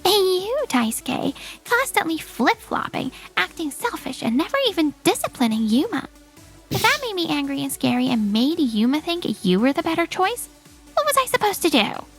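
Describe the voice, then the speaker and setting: A woman's voice: high-pitched, very animated, normal speed, faint music behind, pitched above the performer's own voice. A woman voicing a stern, exasperated divorced mother in a manga dub, scolding with indignation. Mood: indignant.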